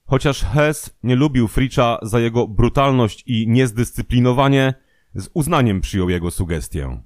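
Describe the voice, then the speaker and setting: A man's voice: medium pitch, lively intonation, normal speed, darkish tone, no room sound, clean studio sound. A man narrating a scripted historical true-crime audio programme.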